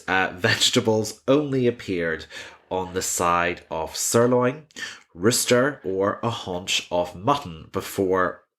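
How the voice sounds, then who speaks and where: A man hosting a history podcast, reading scripted narration with measured, wry conversational asides. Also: low voice, fairly bright tone. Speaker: history podcast host and author.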